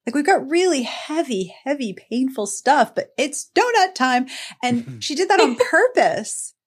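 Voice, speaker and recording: A woman's voice: sits high, big pitch swings, a little slow, breathy edge. A woman hosting a podcast, chatting casually and playfully about books, with an emphatic, slangy way of talking. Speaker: podcast host. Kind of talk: casual chat about book recommendations.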